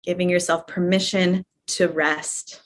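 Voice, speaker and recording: Gentle woman guiding yoga nidra relaxation. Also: fairly low pitch, flat delivery, measured pace. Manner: gentle, permissive, repeats phrases softly. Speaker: yoga nidra teacher guiding a live class. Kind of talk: guided relaxation instruction, conversational.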